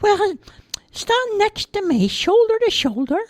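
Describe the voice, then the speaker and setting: Woman voicing a high, giggling thumb-sized magical man for a storybook. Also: very animated, a little slow, a little mellow, no echo, studio quality, exaggerated voice. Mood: cheerful. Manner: chirpy, giggling. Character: tiny giggly magical man living in a heart.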